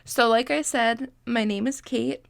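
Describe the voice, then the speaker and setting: A woman's voice: on the high side, steady tone, measured pace, gravelly, dry recording. A woman in her twenties from Massachusetts, congested and sniffly, hosting a casual podcast in a chatty, self-deprecating way and swearing freely. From Massachusetts, USA. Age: 20s. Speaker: podcast host. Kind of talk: casual solo podcast chat.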